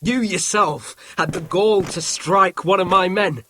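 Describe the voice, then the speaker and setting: A man's voice: high-pitched, unhurried, sharp tone, a little hiss or hum. An actor playing an arrogant, cruel young lord in a video game drama, sneering and menacing as he taunts those beneath him. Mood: contemptuous.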